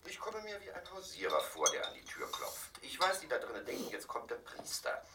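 Casting: stilted, earnest priest, animated pitch, wordy television-talk parody, far off in noisy sound. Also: male voice, fairly quick. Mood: earnest, musing. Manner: stilted, jargon-heavy, earnest. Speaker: radio play actor. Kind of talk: televised discussion parody in radio play. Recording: distant, echoey, noisy sound.

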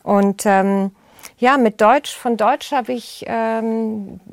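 An older woman who grew up in the United States, speaking as a podcast guest in a reflective, thoughtful way and pausing often with fillers. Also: fairly high pitch, steady tone, creaky, no room sound. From raised in the United States. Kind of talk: conversational interview.

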